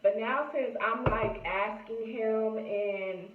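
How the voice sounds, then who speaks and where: A young woman in her late twenties chats to camera in a relaxed, rambling way, leaning on "you know" and speaking. Her voice sits fairly high. The sound is echoey and thin, like a phone recording in a room at home. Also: takes it slowly, far from the mic, narrow, tinny audio. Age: late 20s. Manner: chatty, many fillers like "you know". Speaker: vlogger. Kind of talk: casual chat to camera.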